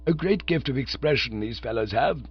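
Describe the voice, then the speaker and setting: A man's voice: medium pitch, expressive, fairly quick, slightly rough, dry recording, pitched above the performer's own voice. A man voicing a pompous, portly elderly lord who speaks in stately, formal, wordy phrases. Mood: amused. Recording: dull lo-fi dry recording.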